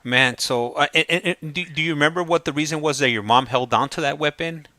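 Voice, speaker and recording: A man hosting a casual interview, asking questions in a hesitant, halting way. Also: fairly high pitch, sharp tone, no echo. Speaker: podcast host interviewing a caller.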